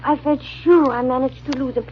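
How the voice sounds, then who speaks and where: Actress doing loyal fretful maid, kinda high voice, old radio play, dull sound. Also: female voice, steady tone, moderate pace, slight background noise, natural voice. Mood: worried. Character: loyal maidservant companion, worried but stubbornly devoted. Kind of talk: 1940s radio drama acting. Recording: muffled old radio broadcast recording.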